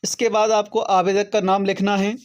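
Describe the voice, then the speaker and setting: A man's voice: high voice, flat delivery, normal speed. A man hosting an online how-to channel, explaining things step by step to viewers and often adding a short check that they follow. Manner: step-by-step, repeatedly checking with "okay". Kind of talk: explanatory tutorial talk to viewers.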